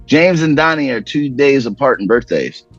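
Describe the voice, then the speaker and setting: A man's voice: medium pitch, lively intonation, normal speed, soft background music. A man in his thirties joking and swearing as a podcast guest on a video call, laughing a lot. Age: 30s. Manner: profane, joking, loud laughter. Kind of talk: casual banter. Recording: dull video call audio.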